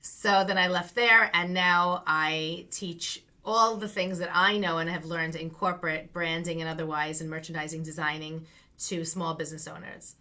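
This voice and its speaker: A woman's voice: lowish voice, fairly even intonation, normal speed, pushed and bright. A middle-aged woman from Long Island with a New York accent, chatting as a guest and telling her career story with laughs. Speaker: guest, business coach. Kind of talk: casual interview chat.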